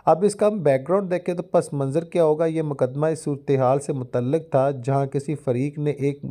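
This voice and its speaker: A man's voice: fairly high pitch, brisk, mellow. A man with a Pakistani accent explaining material like a lecturer, in formal phrasing sprinkled with English terms. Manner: formal Urdu with frequent English legal terms. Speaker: law education YouTuber. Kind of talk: explanatory lecture mixed with reading out a judgment.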